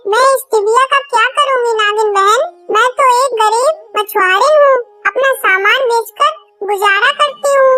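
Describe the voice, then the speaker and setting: A woman's voice: very high voice, measured pace, sharp tone, no echo, faint music behind, exaggerated voice. A woman voicing an earnest young fisherwoman bird in a cartoon story. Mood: humble. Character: young poor fisherwoman bird, anxious devoted wife.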